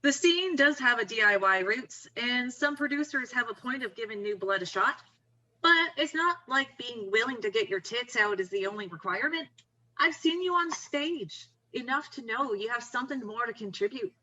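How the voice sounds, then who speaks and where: A woman plays an encouraging, pushy young woman in a drama table read. Her voice sits a little high with a bright, emphatic edge, and she persuades in a casual way. The recording is slightly dull. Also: expressive, moderate pace. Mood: reassuring. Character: Riley, upbeat, pushy, encouraging young woman, best friend.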